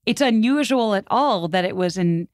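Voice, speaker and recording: A woman speaking as a podcast guest, chatting casually about history in an animated, colloquial way full of "like" and acted-out asides. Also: mid-range voice, moderate pace, no room sound, studio quality. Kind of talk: casual conversational commentary on history.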